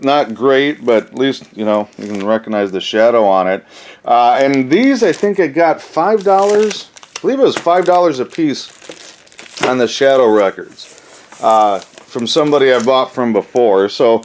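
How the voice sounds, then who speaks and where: Man, medium pitch, casual vlog host, rambling, thinking aloud, folksy phrasing, unscripted show-and-tell. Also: animated, measured pace. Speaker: collector hosting a flea market haul video.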